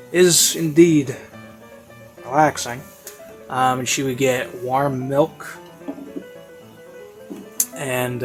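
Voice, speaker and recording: Male, mid-pitched, off-the-cuff reviewer. Rambling, hesitant delivery with frequent searching pauses, classical music bed behind. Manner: hesitant, halting, thinking aloud. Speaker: reviewer of audio series. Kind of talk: casual rambling spoken review.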